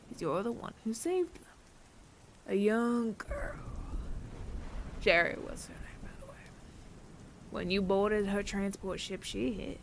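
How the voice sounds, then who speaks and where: Man acting as weary older sci-fi bounty hunter, gravelly whispery breath, wry and merciful, swinging intonation, intimate audio roleplay. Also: high-pitched, a bit bright, slight background noise, exaggerated voice. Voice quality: breathy, often near-whispered. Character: world-weary male android bounty hunter with reluctant mercy.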